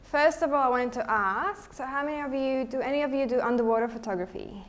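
A woman's voice: high voice, quick pace. Slovak-accented young woman giving a talk. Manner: friendly, relaxed, thinks aloud with restarts. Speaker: guest speaker, underwater photographer. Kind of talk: presentation to a live audience. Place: lecture hall at a photo show.

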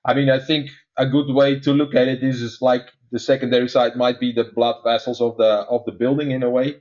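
A man's voice: mid-range voice, little pitch movement, a little mellow. Dutch guy explaining tech stuff on a podcast, lots of uh's, kinda dull lo-fi sound. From Netherlands. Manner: many "uh" fillers, measured explaining. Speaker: guest expert. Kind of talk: technical explanation in conversation.

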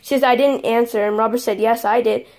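A teenage girl chatting casually and excitedly with friends as she films prank calls in a bedroom. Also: a little high, brisk. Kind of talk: casual chat among friends. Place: small bedroom, indoors. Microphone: handheld phone camera.

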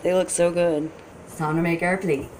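A young woman talks in a friendly, instructive way as she cooks, her voice sitting on the lower side. She is picked up by a camera on the counter in a home kitchen, with a faint hum of room noise behind her. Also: flat delivery, normal speed. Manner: chatty, friendly, instructive with small restarts. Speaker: cooking vlogger. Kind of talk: casual cook-along talk to camera. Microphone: camera on counter a few feet away.

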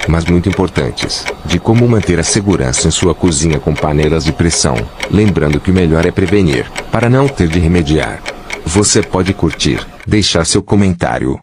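A man giving kitchen safety tips in a steady, instructive scripted voice-over. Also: sits low, noisy background.

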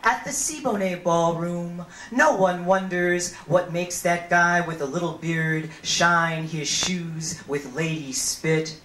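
A woman poet performing spoken word live, with a deliberate, theatrical delivery, on a handheld microphone in a small venue. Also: on the low side, fairly even intonation, a bit bright, slight background noise. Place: small brick-walled performance venue, indoors. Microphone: handheld stage microphone through a PA. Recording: echoey amplified 1990s live camcorder recording.

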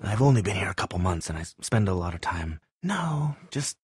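An actor playing an awkward, earnest, tech-minded man in a zombie survival video game, speaking in a hesitant, wry, matter-of-fact way with a husky voice. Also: low-pitched, big pitch swings, a little fast, darkish tone, no echo, full character voice. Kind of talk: naturalistic video game voice acting.

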